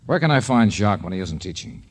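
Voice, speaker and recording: A cool, wry private eye from old radio drama. His voice sits low with a rough husk. He speaks in dry, clipped phrases and tosses off casual wisecracks. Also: big pitch swings, fast talker. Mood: probing. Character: cool, wry private detective, a grown man. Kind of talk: 1940s radio drama acting.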